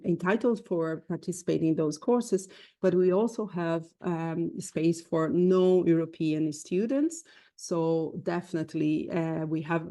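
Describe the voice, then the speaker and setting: A woman's voice: fairly low pitch, warm tone. A woman lecturer explaining course admissions in a webinar, with frequent fillers. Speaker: university lecturer. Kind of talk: webinar presentation.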